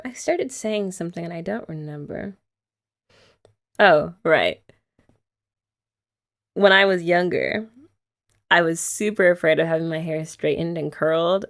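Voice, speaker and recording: Chatty young woman, gravelly lively ASMR voice. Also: fairly low pitch. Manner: chatty, giggly, rambling with light laughs. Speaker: ASMR roleplay host playing a girlfriend. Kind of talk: soft-spoken ASMR roleplay chat.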